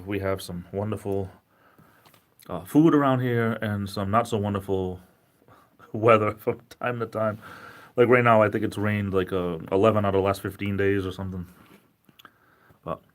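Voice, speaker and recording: A man with a Rhode Island accent and a fairly low voice, chatting into his webcam from home. He rambles in a friendly way and pokes fun at himself as he answers viewers. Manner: rambling, self-deprecating, chatty. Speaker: livestream host. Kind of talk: casual livestream chat.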